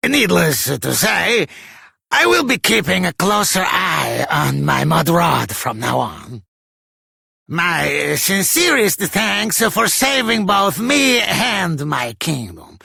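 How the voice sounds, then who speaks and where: Very creaky, theatrical monarch. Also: male voice, high-pitched, big pitch swings, slow pace, sharp tone, dry recording, studio quality, heavily acted. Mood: grand gratitude. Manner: formal, florid royal phrasing. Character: grateful pompous eccentric monarch, grandly theatrical. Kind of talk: theatrical video game acting.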